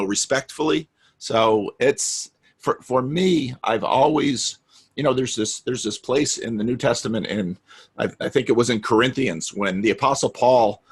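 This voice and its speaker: Man, husky voice, earnest, storytelling, restarts a lot. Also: medium pitch. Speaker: guest, meetup organizer. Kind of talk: casual conversation.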